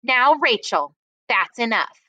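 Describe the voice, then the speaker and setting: A woman's voice: a little high, very animated, slow, sharp tone, dry recording, full character voice. A woman voicing a stern older female schoolteacher in a storybook, firm and commanding as she addresses a class. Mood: stern, insistent.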